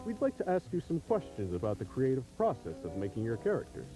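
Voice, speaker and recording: A man playing a formal interviewer who asks scripted questions, heard quietly with music behind him. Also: medium pitch, wildly swooping pitch, quick pace, poor audio. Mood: formal, polite.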